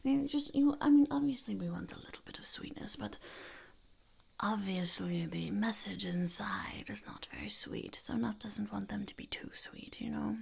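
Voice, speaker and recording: A woman voicing a blunt, smug female goblin entrepreneur in an unhurried, breathy, gravelly half-whisper for an ASMR roleplay. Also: mid-range voice. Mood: soft, inquisitive. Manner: speaks of herself in third person, unhurried, blunt. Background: crinkling wrappers and clicks.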